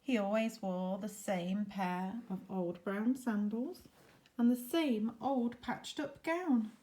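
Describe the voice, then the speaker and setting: A woman's voice: mid-range voice, slow, mellow. A woman narrating a children's story expressively, like a teacher, with chatty asides to her listeners. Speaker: teacher reading a picture book.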